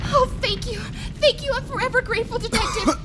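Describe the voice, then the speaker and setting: A woman's voice: very high voice, fairly quick, music in the background. A woman playing a frightened civilian in danger in an amateur radio play, with a melodramatic, pleading delivery. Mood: relieved, grateful.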